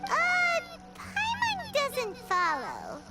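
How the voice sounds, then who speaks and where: A woman's voice: very high voice, huge pitch swings, very slow, exaggerated voice. A woman voicing a tiny, chirpy, childlike fairy companion in an anime video game. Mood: puzzled. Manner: speaks of self in third person. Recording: loud game music behind.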